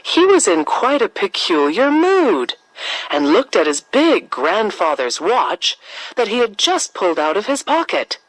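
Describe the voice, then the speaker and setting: A woman narrating a scripted children's fairy tale as a storyteller, with expressive, dramatic emphasis. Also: medium pitch, unhurried, dry recording, low-quality recording.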